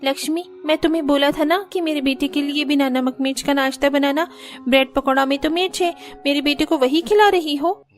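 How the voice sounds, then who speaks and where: A woman's voice: high voice, steady tone, fairly quick, faint music behind, lightly acted. A woman voicing a bossy, scheming elder sister-in-law in a Hindi family cartoon, commanding and nagging. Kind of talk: Hindi moral-story cartoon dubbing.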